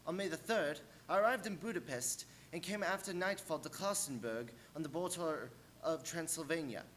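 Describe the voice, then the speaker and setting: A man's voice: a little high, fairly bright tone, a little hiss or hum, natural voice. A man playing an earnest young English lawyer's clerk who formally recounts his journal in a radio play. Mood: grave, foreboding. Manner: formal, measured storytelling. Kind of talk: dramatic radio-play narration.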